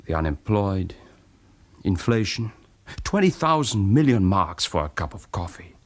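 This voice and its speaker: An actor playing a reflective, persuasive half-German former wartime spy in a radio drama, speaking deliberately and haltingly. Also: male voice, sits low, very animated. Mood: bitter, reflective. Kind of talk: naturalistic radio drama acting.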